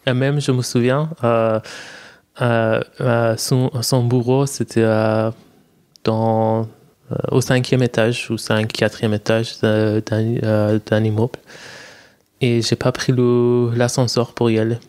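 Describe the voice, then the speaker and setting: A man from Iran speaks French slowly, as a guest telling his own story. His voice is smooth and clear at a middle pitch, and he hesitates often, restarting phrases and reaching for English words. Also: little pitch movement, darkish tone. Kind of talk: personal testimony in conversation.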